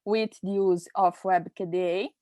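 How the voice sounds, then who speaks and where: A woman from Brazil with a Brazilian Portuguese accent, a nurse and researcher giving an online presentation in hesitant, halting English full of fillers. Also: lowish voice, steady tone, warm tone, no room sound.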